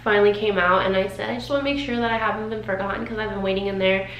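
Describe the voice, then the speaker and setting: A woman's voice: mid-range voice, steady tone, very fast, muffled recording. Woman in her thirties, candid vlog talk. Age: 30s. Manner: candid, rambling, emotional. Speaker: vlogger. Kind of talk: personal vlog chat. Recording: roomy sound with faint noise.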